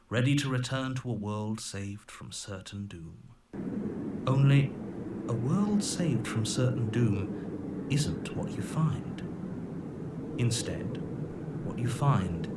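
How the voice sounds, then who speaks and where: Low calm narrator, hushed and reassuring, kinda creepy, game sound w noise. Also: male voice. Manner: measured, soothing, hushed storytelling. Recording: game audio with background noise.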